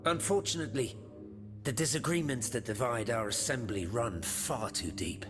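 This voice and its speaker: An actor playing an authoritative eighteenth-century statesman in a video game, formal and measured. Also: mid-range voice, lightly acted. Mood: grave, resolute. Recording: music behind the voice.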